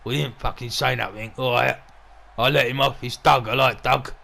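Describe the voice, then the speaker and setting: A man playing a gruff, bullying wrestling boss and commentator with a throaty growl and a crude, sweary, threatening way of talking. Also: mid-range voice, fairly even intonation, moderate pace, bright, forceful tone, full character voice. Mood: gruff, menacing. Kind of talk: wrestling commentary with in-character banter.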